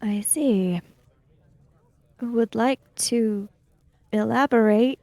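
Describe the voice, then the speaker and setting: Female player as reserved samurai emissary for tabletop game, soft dark tone, dry close sound, precise delivery. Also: fairly high pitch, no echo. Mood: reserved, measured. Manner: formal, deliberate, precise. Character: reserved, formal samurai emissary, measured and guarded. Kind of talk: in-character tabletop roleplay.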